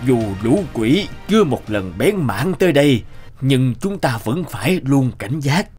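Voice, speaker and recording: A man voicing a solemn old village elder who speaks in a grave, measured way in a cartoon. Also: medium pitch, sing-song, darkish tone, slightly rough, soft background music.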